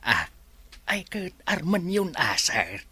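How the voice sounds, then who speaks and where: A man's voice: fairly high pitch, wildly swooping pitch, slow, pushed and bright, breathy edge, no echo, heavily acted. An actor playing a timid male employee who hesitates and stammers before his boss. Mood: nervous. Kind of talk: radio drama acting.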